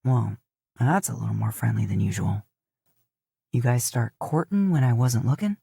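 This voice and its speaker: A woman voicing a gruff, wry cowboy ex-soldier in an audiobook, muttering dryly. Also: normal speed, mellow, dry recording, full character voice. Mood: wry, teasing. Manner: low, muttering, dry.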